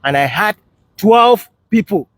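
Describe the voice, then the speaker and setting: A man's voice: sits high, expressive, measured pace, a bit bright, no echo. A man with a Nigerian accent in casual, storytelling chat, giving advice and often checking that he is understood.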